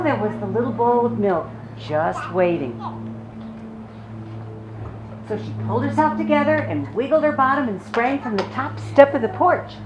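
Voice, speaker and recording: Lively woman storyteller in an echoey, noisy room. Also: fairly high pitch, muffled recording. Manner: animated, sympathetic storytelling to young children. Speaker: children's storyteller. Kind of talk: expressive picture-book read-aloud. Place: public library story time room. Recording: echoey room with clear background noise.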